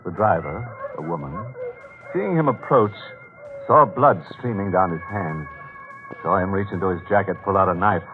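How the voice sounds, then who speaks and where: An actor playing a ghoulish, mock-friendly horror host in 1940s radio style, drawing out his words with theatrical, macabre relish. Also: low-pitched. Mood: grim, suspenseful. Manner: theatrical, drawn-out, macabre deadpan humor. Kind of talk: 1940s radio horror hosting and scripted narration. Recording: tinny old-time radio recording with music.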